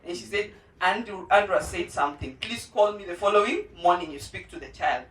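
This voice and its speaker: A mother of two special-needs children giving a heartfelt personal testimony at a charity launch, earnest and pausing often. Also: fairly low pitch, animated, a bit bright, recorded from a distance, a little hiss or hum. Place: indoor event venue. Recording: roomy hall, voice from off-mic.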